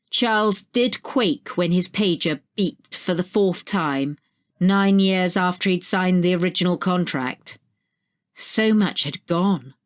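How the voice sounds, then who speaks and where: Female narrator, slightly low voice, reading scripted short fiction. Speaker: story narrator.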